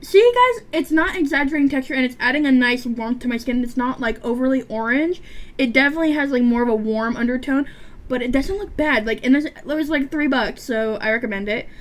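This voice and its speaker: A woman's voice: sits high, expressive, brisk. A woman of about twenty chatting casually while doing her makeup, with a stutter and a lisp and a rambling way of talking. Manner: rambling, chatty, frequent restarts and fillers. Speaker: beauty vlogger.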